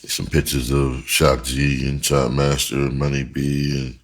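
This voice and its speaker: Very deep-voiced man chatting casually. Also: flat delivery, measured pace, slight background noise. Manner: informal, streetwise, profane phrasing. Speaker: vlogger and rap musician. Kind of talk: casual storytelling to camera.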